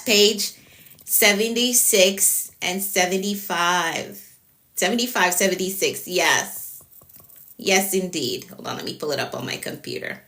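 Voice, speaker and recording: A woman's voice: medium pitch, pushed and bright. A woman with a Jamaican accent, a productivity coach giving a live-stream talk, repeating her key points and greeting viewers by name.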